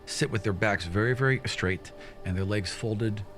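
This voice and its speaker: Lowish-voiced, halting male game master. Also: a bit bright, soft background music. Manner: casual, halting, repeats words. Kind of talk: improvised narration of a tabletop game.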